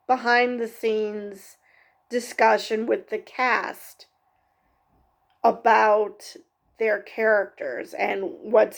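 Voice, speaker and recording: A woman talks through a TV episode in a slow, unhurried recap, often pausing on ums and fixing her own sentences. Her voice sits a little high and has a gravelly, fry-edged texture. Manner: halting, many ums and self-corrections. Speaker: TV review vlogger. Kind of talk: casual unscripted episode recap.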